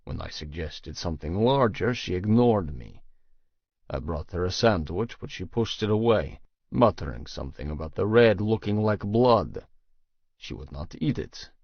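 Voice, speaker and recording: Male audiobook narrator, low gravelly voice, measured and serious, first person, recorded dry and a touch muffled. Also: expressive, dry recording.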